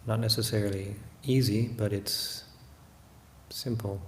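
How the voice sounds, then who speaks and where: A man giving a guided meditation talk as a Buddhist teacher, unhurried and hesitant, often pausing to reflect. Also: on the low side, steady tone. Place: room in a monastery. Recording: roomy echo, faint background noise.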